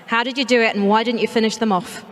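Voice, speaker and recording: Broadcast interviewer, woman, bright higher voice, teasing, long hall reverb. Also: steady tone, fast talker. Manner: bubbly, teasing. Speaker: on-site interviewer. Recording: echoey arena hall.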